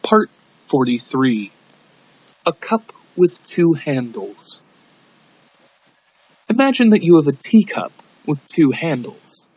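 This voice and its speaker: A man's voice: medium pitch, husky, no room sound. A man reading a scripted audiobook in an amateur narration style, on a dull lo-fi recording. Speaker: audiobook reader.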